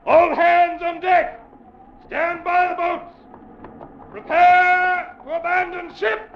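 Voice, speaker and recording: An actor playing a loyal, uneasy ship's mate in a 1940s radio sea drama, telling and living a grim tale. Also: male voice, very high voice, flat delivery, slight background noise, heavily acted. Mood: shouting orders. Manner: measured, literary storytelling, urgent in dialogue. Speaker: narrator and actor. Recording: muffled old-time radio recording, music behind.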